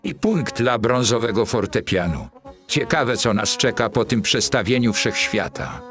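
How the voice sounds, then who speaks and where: A man's voice: medium pitch, very animated, unhurried, music in the background. A man voicing a resigned, sceptical handyman sidekick in a comic cartoon, grumbling in dry, colloquial speech. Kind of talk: dubbed comic cartoon dialogue in Polish.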